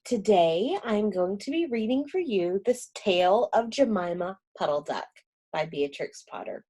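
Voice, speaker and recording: A woman reading a children's storybook aloud, gentle and expressive. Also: medium pitch, measured pace.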